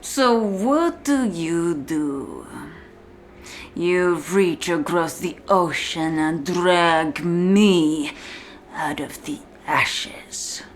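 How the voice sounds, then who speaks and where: A woman playing a contemptuous, commanding prison warden in a slow, deliberate, menacing ASMR-style roleplay monologue. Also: lowish voice, slight background noise. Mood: hushed menace. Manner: slow, deliberate, menacing, often dropping to a whisper.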